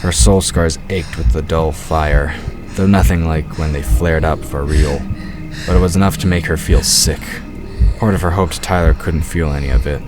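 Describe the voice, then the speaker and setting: Extremely deep-voiced man narrating fantasy. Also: steady tone, moderate pace, over music. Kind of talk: scripted fantasy audio drama narration.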